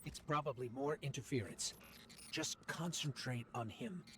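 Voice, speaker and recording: An actor playing a supportive, level-headed companion on a game mission, reassuring and earnest. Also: male voice, mid-range voice, moderate pace, noisy background, natural voice.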